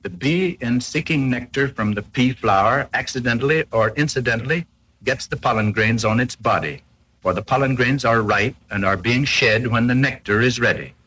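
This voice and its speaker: A man with a husky, slightly rough voice at a middling pitch narrates an educational film in 1940s style. He reads from a script formally, explaining each point carefully like an instructor. The sound is that of an old film soundtrack from the period. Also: moderate pace, pushed and bright, poor audio.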